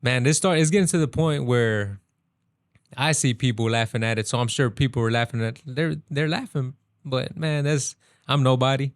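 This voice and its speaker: A man's voice: medium pitch, moderate pace, no echo, clean studio sound. A man appearing as a guest rapper in a casual chat, speaking in a laid-back, frank way.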